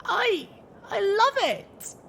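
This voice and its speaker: A man puts on a young mare heroine from an audiobook, earnest and tender-hearted. The voice is pitched very high and slightly hesitant, with faint noise behind it. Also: sing-song, very slow, creaky, sharp tone, exaggerated voice. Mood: moved, tender.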